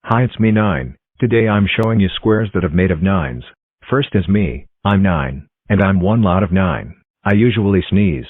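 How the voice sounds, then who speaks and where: A man's voice: deep voice, animated, moderate pace, no echo. A man voicing cheerful talking number block characters in a fan-made cartoon, introducing himself in a chirpy, plain way. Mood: chatty. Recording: tinny phone-like dry recording.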